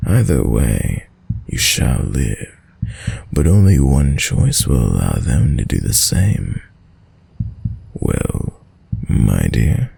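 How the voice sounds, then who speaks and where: A man playing an ancient, sadistic vampire lord in a roleplay audio drama, deep and gravelly, speaking with silky, mock-tender menace and theatrical dominance. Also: sing-song, slow, pauses often, dark tone, no echo, heavily acted. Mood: predatory, gloating.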